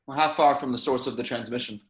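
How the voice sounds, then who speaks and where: Man playing a starship captain: curt commands and questions, mid-pitched voice, roomy echo around it. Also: fast talker, natural voice. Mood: commanding. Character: terse, commanding male spaceship captain. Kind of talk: amateur screenplay table read. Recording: roomy, echoing home recording.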